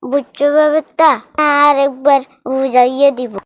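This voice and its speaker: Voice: sits high, flat delivery, moderate pace, dry recording, clean studio sound, close to an everyday voice. A performer voicing a mischievous, stubborn village boy in a cartoon, chatty and self-assured as he explains things simply. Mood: patient, instructing. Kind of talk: cartoon voice acting. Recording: music behind the voice.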